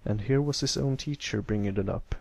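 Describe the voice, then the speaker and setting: A man's voice: fairly low pitch, a little fast, creaky, darkish tone, no room sound. A man reading scripted story narration as an amateur narrator. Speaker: amateur fan fiction narrator.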